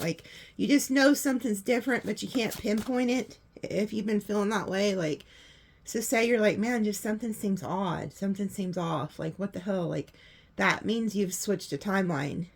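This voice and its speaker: A woman with a hoarse voice, chatting casually through a tarot reading and laughing often. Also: mid-range voice, a little mellow. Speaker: tarot reader.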